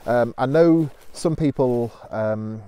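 A British man in his thirties, sitting in autumn woods, talking through a piece of gear in a relaxed, practical way with plenty of ums and restarts. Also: mid-range voice, slow pace, clear, resonant tone. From United Kingdom. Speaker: outdoor skills instructor reviewing gear. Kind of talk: casual gear review to camera. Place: autumn woodland, outdoors. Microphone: camera at a distance, seated on the ground.

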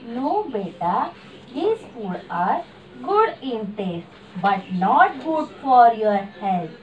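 A soft, patient mother puppet, voiced by a woman, quiet and lower than her own voice. She speaks slowly, from across the room. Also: very animated, highs cut off. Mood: gentle. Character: gentle soft-spoken mother. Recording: distant room mic.

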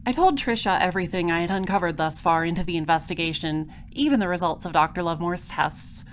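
A woman playing a dry, inquisitive space detective in an audio drama, speaking formally and deliberately. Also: lowish voice, slight background noise, natural voice. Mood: dry, probing. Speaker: voice actor. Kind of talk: audio drama dialogue and first-person noir narration.

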